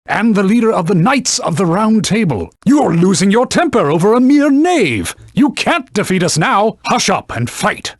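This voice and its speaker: A man's voice: sits high, slightly rough, dry recording, studio quality, exaggerated voice. A man voicing a haughty enchanted sword in a video game, a stern knightly mentor who scolds and instructs in pompous, old-fashioned language. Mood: scornful, scolding. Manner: pompous, scolding, archaic formal diction. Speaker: combat mentor. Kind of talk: video game voice acting, combat tutorial barks.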